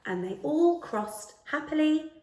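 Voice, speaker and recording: A woman's voice: high voice, lively intonation, a little slow, a little mellow. A woman in her thirties reads a children's story aloud with careful, dramatic expression. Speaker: storyteller reading a children's book. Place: small living room, indoors. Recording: roomy indoor echo.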